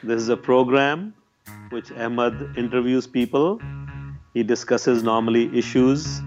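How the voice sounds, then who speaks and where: An older man from Pakistan, the host's father, chatting unhurriedly and teasing gently over a Skype call. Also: medium pitch, fairly even intonation, unhurried, highs cut off, soft background music. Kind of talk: casual chat over a call.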